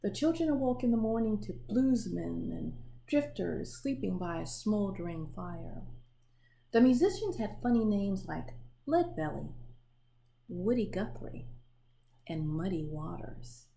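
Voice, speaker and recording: Woman doing storytime for kids, takes her time, mellow voice, expressive, bit of noise behind her. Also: medium pitch, gravelly. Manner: expressive storytelling with pauses to show the pictures. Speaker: storybook reader. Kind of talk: children's picture book read aloud.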